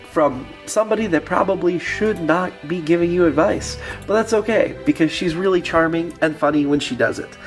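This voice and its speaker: Higher-pitched male podcast host over music. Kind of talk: casual scripted promo introduction.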